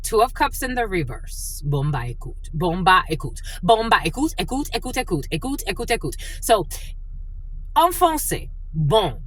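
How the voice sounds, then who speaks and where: A woman's voice: medium pitch, big pitch swings, normal speed, fairly bright tone, slightly rough, no echo. A woman whose first language is Spanish and whose family is from the Dominican Republic. She is a spiritual channel who opens with a prayer and then chats her way through a tarot reading with many fillers. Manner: chatty and rambling, with frequent fillers.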